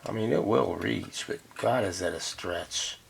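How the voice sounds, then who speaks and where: A man's voice: low voice, measured pace, creaky, husky. A man giving casual, unscripted commentary as he works on a repair, half talking to himself as he inspects and handles parts. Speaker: radio repair hobbyist narrating a restoration. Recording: noisy workshop recording with clicks.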